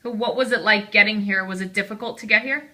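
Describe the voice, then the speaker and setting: Bright, friendly woman, casual interviewer asking gentle questions. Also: medium pitch, fast. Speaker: interviewer, granddaughter.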